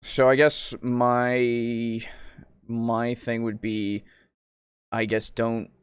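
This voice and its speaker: A man talks casually as a podcast co-host, in a mid-pitched voice. He thinks aloud, hesitant and rambling. The sound is very dry, with little trace of a room. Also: completely flat delivery, drawn-out words, clean tone, no room sound. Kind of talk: casual discussion of roleplaying games.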